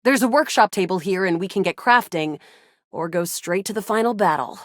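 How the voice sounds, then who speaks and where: A woman voicing a feisty, excitable young resistance fighter in a sci-fi video game, with a dramatic, playful delivery. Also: medium pitch, fairly quick, no room sound, studio quality, lightly acted. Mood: confident, chatty. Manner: animated, dramatic, playful banter. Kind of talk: video game character voice acting.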